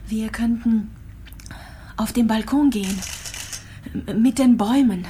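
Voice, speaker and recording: An actress playing a gravely ill, resigned hospital patient in a radio drama, speaking reflectively and haltingly, sometimes trailing into near-whispers. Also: female voice, a little high, dark tone, a little hiss or hum, lightly acted. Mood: quietly insistent.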